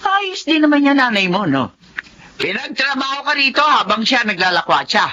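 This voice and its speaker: A scornful, elderly Filipino grandmother in a radio drama. Her voice is animated and sharp, full of disapproving exclamations. The audio is a bit dull. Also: high voice, moderate pace, full character voice.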